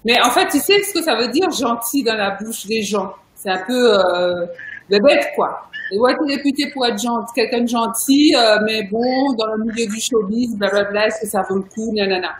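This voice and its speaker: A woman's voice: a little high, moderate pace, echoey room, a little hiss or hum, rough recording. A woman hosting a casual video interview, chatty and emphatic, laughing often. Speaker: interview host.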